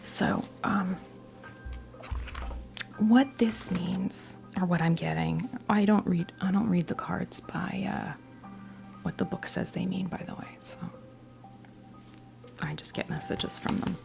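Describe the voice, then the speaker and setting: A woman in her fifties speaks in a hushed, breathy whisper that slips into heavy vocal fry. Her voice sits fairly low and she reads tarot in a soothing ASMR style, with frequent pauses. Music plays behind her. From New Jersey, United States. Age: 50s. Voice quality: breathy whisper mixed with soft creaky voice. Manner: slow, halting, pausing often, reassuring. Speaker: ASMR performer giving a tarot reading. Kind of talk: whispered ASMR role play, unscripted tarot reading.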